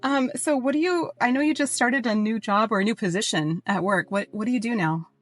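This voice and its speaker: A woman's voice: on the high side, a little fast, no room sound, faint music behind. A woman hosting a casual podcast interview, effusive and rambling. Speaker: podcast host.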